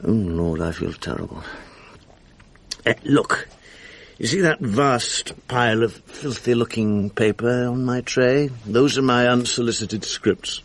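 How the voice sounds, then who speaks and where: An English actor playing a jaded, dissipated middle-aged script editor and exasperated husband in a radio drama, world-weary, sardonic and blunt, with a gravelly, husky voice. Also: male voice, mid-range voice, a little slow, natural voice. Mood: wry, hungover. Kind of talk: 1980s BBC radio drama acting.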